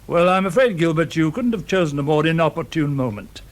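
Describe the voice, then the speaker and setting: An actor playing an ailing, weary but firm middle-aged British factory owner in a radio drama, speaking formally with some hesitation. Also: male voice, on the high side, expressive, brisk, close to an everyday voice. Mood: firm, regretful.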